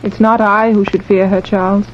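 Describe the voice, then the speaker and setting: A woman's voice: medium pitch, flat delivery, normal speed, lightly acted. A woman playing a resigned, brave young bride in old-time radio horror, wistful and restrained. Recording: muffled, faintly noisy old radio recording.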